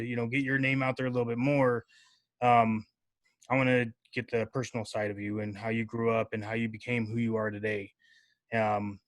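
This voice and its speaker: A man's voice: mid-range voice, fairly bright tone, dry recording. A man hosting a casual podcast interview, talking loosely with plenty of filler words. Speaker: podcast host.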